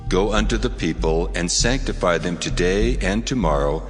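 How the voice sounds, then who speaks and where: A man's voice: deep voice, normal speed, lightly acted. A man playing a stern, ancient God who speaks solemn, commanding words in a dramatized scripture reading. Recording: long cavernous reverb over music.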